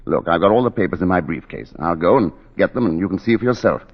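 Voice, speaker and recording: An actor playing an impulsive, insistent husband in a 1940s radio drama, emphatic and impatient. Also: male voice, low-pitched, expressive, quick pace, slightly rough, exaggerated voice. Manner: emphatic, impatient, endearments to his wife. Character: impulsive, insistent husband brimming with enthusiasm, later aghast. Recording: dull 1940s radio broadcast, long reverb.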